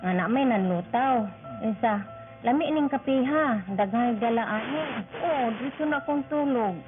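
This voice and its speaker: A woman's voice: on the high side, a little slow. A woman in her seventies with a Visayan accent giving a simple testimonial. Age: 70s. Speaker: testimonial giver. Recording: tinny radio broadcast, music behind.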